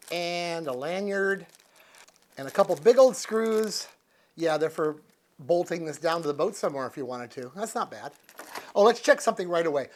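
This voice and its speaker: An American man in his sixties chatting casually and unscripted as he reviews a product, thinking aloud in a folksy, easygoing manner. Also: on the high side. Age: 60s. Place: living room with couch, indoors.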